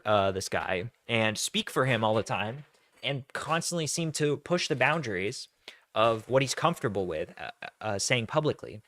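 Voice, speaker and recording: Man, reaction commentator, mocking, exasperated, profane, mid pitch, dry sound. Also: a bit bright, no echo.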